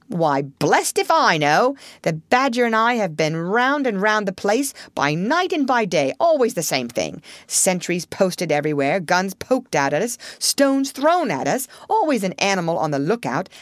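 A woman's voice: medium pitch, big pitch swings, a little slow, hardly any pauses, a bit bright, no room sound, studio quality, voice pushed up. A woman voicing a grumpy, plain-spoken male mole in a storybook, blunt and emphatic.

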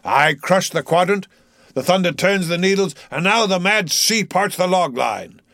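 A man's voice: sits high, animated, moderate pace, creaky, fairly bright tone, dry recording, studio quality, pitched above the performer's own voice, exaggerated voice. A man voicing a mad, brooding old sea captain in an audiobook, declaiming grandly in archaic speech. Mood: defiant command.

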